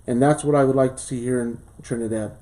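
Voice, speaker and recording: A man in his late thirties, a school board candidate from southern Colorado, reading a formal speech and answering questions earnestly, with pauses and fillers, in a small studio. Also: mid-range voice, steady tone, mellow. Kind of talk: prepared campaign speech and interview answers.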